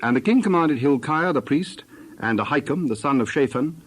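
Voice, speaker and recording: Mid-pitched male scripture narrator. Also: expressive, normal speed, a little hiss or hum, rough recording.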